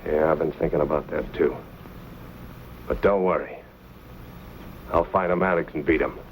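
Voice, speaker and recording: An actor playing a resentful, scheming saloon keeper in an old-time western drama, grumbling in a folksy way. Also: male voice, rumbling low, moderate pace, rough recording. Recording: hissy old radio-era recording.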